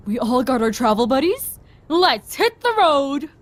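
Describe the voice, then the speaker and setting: A woman playing a cheery, take-charge adult organizer in an audio drama, with a brisk manner. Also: high-pitched, sing-song. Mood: upbeat.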